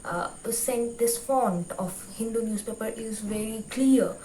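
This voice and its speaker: A woman's voice: fairly high pitch, measured pace, dark tone. A young woman from West Bengal with a Bengali Indian accent, a native Bengali speaker, gives informal advice to viewers as a vlog host, often repeating and rephrasing herself. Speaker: YouTube host. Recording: roomy, distant mic with faint noise.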